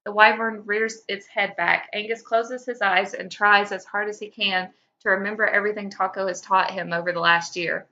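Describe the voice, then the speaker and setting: Female podcast co-host, bright and forceful, casual and enthusiastic, slightly muffled recording. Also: medium pitch, fairly even intonation, moderate pace, few pauses. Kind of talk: casual banter and expressive fan fiction reading.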